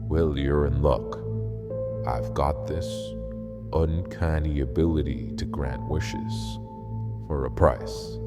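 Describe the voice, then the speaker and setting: Guy doing a creepy sly old man, super deep voice, slow, loud eerie music behind, horror podcast. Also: steady tone. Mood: coaxing, mysterious. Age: older. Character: sly old male hospice patient with uncanny powers. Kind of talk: horror audio drama acting.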